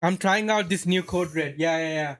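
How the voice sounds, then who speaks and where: A man's voice: a little high, moderate pace, a bit bright, no room sound, studio quality. A man from Saudi Arabia casually chatting on a live stream, rambling and answering chat as he plays.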